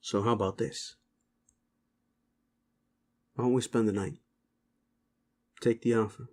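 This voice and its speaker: A man playing a gruff, sleazy werewolf boss with a hidden soft side, talking rough slang in an intimate, halting audio drama style. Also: fairly low pitch, fairly even intonation. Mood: gruff, flirtatious.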